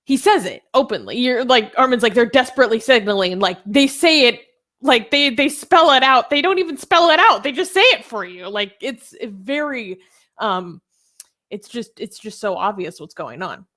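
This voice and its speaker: A woman's voice: a little high, expressive, normal speed, a bit bright. A woman giving casual panel commentary in an informal way, peppering her talk with "like". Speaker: panelist on a discussion show.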